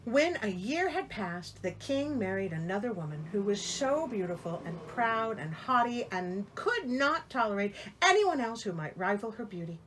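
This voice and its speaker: A woman's voice: medium pitch, big pitch swings, moderate pace, hardly any pauses, a bit bright, a little hiss or hum, rough recording. A woman reading a fairy tale aloud expressively, with theatrical, incredulous and wry asides. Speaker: storyteller and host.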